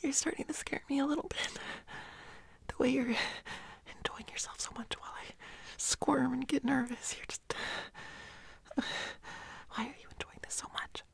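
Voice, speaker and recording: A woman playing a nervous, pouty teenage schoolboy in a whispered audio roleplay, breathy, hesitant and pleading. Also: high voice, steady tone, normal speed, no room sound, full character voice.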